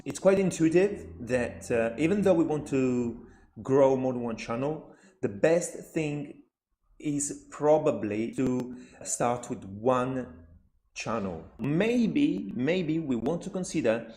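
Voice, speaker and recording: Man, native Italian, casual vlog advice, lots of fillers, mid pitch, echoey room. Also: darkish tone. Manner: thinking aloud, many fillers and "okay?" check-ins. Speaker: YouTuber. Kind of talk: casual advice talk to camera. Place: at home by his computer.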